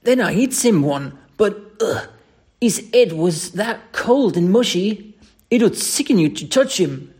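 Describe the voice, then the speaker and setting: A reader voicing a young Cockney ex-soldier servant in an audiobook story, rough-spoken, emphatic and deferential. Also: mid-range voice, lively intonation, unhurried, darkish tone, voice pushed up. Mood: boastful, disgusted.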